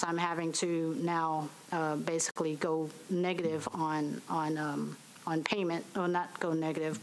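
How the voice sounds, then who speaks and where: A young adult woman testifying at a public hearing from a podium microphone, speaking haltingly with many fillers and restarts. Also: on the low side, a little hiss or hum, low-quality recording. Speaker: business owner giving public testimony. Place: municipal hearing room.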